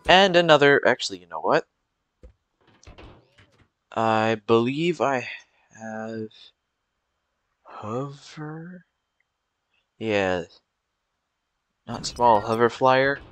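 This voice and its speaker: A man's voice: medium pitch, expressive, very slow, long pauses, a bit bright, no echo. A young man giving rambling, casual commentary over gameplay, with frequent fillers and restarts. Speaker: gameplay video creator.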